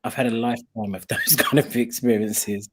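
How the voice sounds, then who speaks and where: Husky-voiced male chat host. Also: mid-range voice, steady tone, moderate pace, a bit bright. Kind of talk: casual online chat show.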